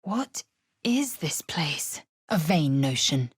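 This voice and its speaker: A proud alien warrior woman from a fantasy video game. Her voice is low and husky with a rasping edge. She speaks in slow, clipped, formal phrases, stern and scornful, as one used to giving orders. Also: sing-song, no echo, clean studio sound, full character voice. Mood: solemn, guarded. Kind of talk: dramatic game dialogue and narration.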